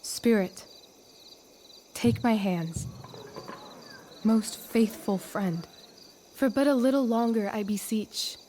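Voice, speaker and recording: A woman's voice: on the high side, mellow, a little hiss or hum. A woman voicing a teenage girl in a video game who recites Shakespeare on stage as an imperious sorceress. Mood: earnest, pleading. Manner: imperious, deliberate archaic delivery. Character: teenage girl playing a commanding sorceress in a school play.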